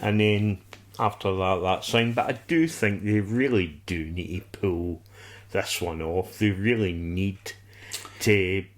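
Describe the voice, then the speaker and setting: A man with a slightly low voice chats casually as a podcast co-host. His delivery is slow and rambling, yet enthusiastic and prone to swearing. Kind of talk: casual fan discussion.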